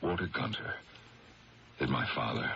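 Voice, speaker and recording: A burdened, ashamed lawyer in an old radio drama speaks in a very deep voice that swings expressively. He hesitates and gropes for words as he confesses, creaky at the edges, over a muffled, faintly hissy broadcast. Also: expressive, normal speed, bright, forceful tone, exaggerated voice. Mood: ashamed, grave. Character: troubled married lawyer, ashamed, burdened by a secret. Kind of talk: 1970s radio drama acting.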